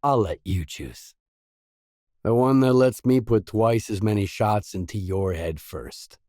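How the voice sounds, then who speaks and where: Guy voicing a low dry game merc, terse n wary, real dry close studio sound. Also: a little slow, warm tone, dry recording, natural voice. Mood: confrontational. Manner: terse, dry, matter-of-fact. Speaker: video game protagonist. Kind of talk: scripted game voice lines.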